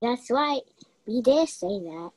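A woman's voice: high-pitched, animated, takes it slowly, a little mellow, no echo, full character voice. A young woman playing a self-important, competitive female detective in a radio mystery play.

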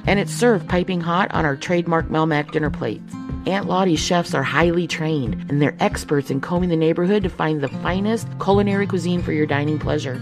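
A woman's voice: deep voice, brisk, over music. A woman playing a polished, straight-faced announcer in a scripted parody radio commercial. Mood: polished, deadpan. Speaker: advertisement announcer.

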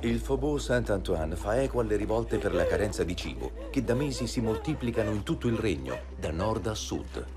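A man reading scripted documentary narration in a measured, even delivery. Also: fairly low pitch. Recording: voice-over with music underneath.

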